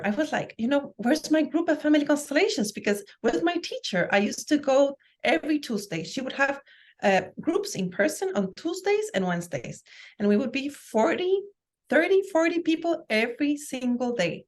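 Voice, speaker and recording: A woman's voice: high voice, sing-song, moderate pace. Enthusiastic woman telling her story. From Guatemala. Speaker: guest, family constellations practitioner and life coach. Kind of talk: conversational personal storytelling.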